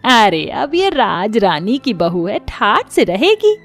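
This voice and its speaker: A woman playing a greedy, sharp-tongued rich mother-in-law in a storybook drama. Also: mid-range voice, sing-song, slow pace. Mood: smug. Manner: sharp-tongued, smooth-talking. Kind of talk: audio storybook drama acting. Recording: music under the voice.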